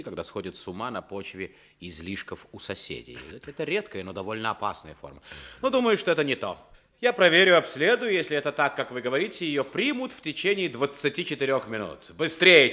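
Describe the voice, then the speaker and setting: An actor playing a haughty, imperious psychiatrist in a Soviet radio play, with a pompous, commanding manner. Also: male voice, medium pitch, big pitch swings, a little fast, pushed and bright. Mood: cool, authoritative. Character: haughty, imperious male visiting psychiatrist, grandly indignant.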